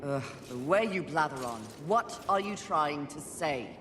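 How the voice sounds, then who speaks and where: A woman's voice: low voice, lively intonation, a little slow, fairly bright tone, a little hiss or hum. A woman playing a fierce, proud, impatient warrior in a video game, speaking in a curt, commanding way. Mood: stern, irritated. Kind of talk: dramatic video game voice acting. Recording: echoey, long reverb, music underneath.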